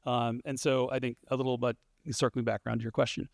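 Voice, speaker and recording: A man speaking on a conference stage in a middle-pitched, everyday voice. He talks loosely and conversationally, restarting sentences and filling gaps with ums. The sound is close and dry, with no room echo. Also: little pitch movement, quick pace, vocal fry. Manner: conversational, many fillers and restarts. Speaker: panelist, studio founder. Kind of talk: conference panel answer.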